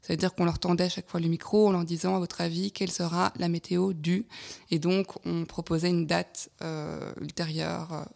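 Woman with a flat, gravelly voice. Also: lowish voice. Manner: measured, with fillers. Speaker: artist guest. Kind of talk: live radio interview.

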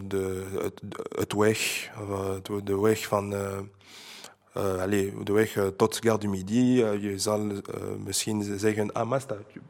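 Belgian man, low flat delivery, halting casual explainer who switches languages. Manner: hesitant, many fillers, switches between French and Dutch. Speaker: interviewee.

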